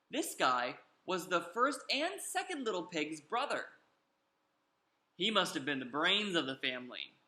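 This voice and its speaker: A storyteller reading a picture book aloud to children in a theatrical, animated way with drawn-out emphasis, in a roomy home recording. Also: medium pitch. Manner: theatrical, drawn-out emphasis, invites listeners to join in.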